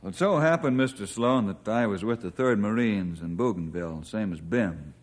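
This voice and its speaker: An actor playing a brisk, capable forest ranger in an old radio drama, speaking in a commanding way. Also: male voice, fairly low pitch, animated, lightly acted. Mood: narrating. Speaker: ranger hero. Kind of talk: 1950s radio drama acting. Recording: noisy old radio recording.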